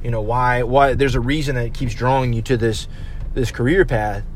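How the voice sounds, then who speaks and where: A man's voice: medium pitch, fairly even intonation, moderate pace, slight background noise. A man in his thirties, a restaurant server, talking casually to his viewers in a rambling, profane way with lots of fillers. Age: 30s. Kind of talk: casual talk to camera.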